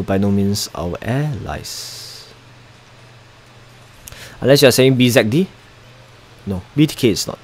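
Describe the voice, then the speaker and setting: A man's voice: mid-range voice, a little hiss or hum. A young Singaporean man with a Singaporean accent, chatting casually while live-streaming a mobile strategy game, muttering asides and reading viewer chat aloud.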